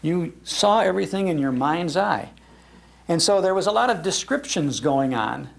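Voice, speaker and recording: A man's voice: a little high, animated, normal speed, gravelly. An older American man giving a nostalgic, enthusiastic storytelling talk about the past. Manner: enthusiastic, acts out quoted lines. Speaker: speaker on old-time radio history. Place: public library talk.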